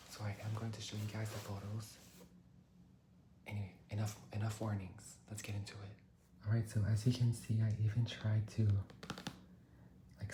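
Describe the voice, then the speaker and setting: A man's voice: fairly low pitch, noisy background. A young man in his twenties, whispering an ASMR-style vlog from the floor, dramatic and self-mocking. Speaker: vlogger. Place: sitting on a floor indoors.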